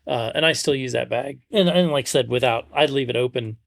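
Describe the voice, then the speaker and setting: Middle-aged man, gravelly fry, dry close sound, casual podcast chat, halting restarts. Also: medium pitch, no echo. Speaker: podcast co-host.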